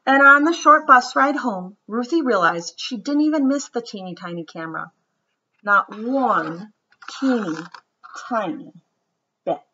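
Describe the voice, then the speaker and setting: Woman storybook reader, expressive and gentle, bright voice, dull lo-fi recording. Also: fairly high pitch, a little slow, husky. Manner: expressive storytelling, gentle and reflective when talking afterward. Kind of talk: children's read-aloud.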